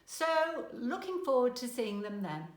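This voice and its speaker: A woman's voice: fairly high pitch, big pitch swings, a little slow, echoey room. A woman narrating a children's storybook aloud as a storyteller. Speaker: storyteller, grandmother.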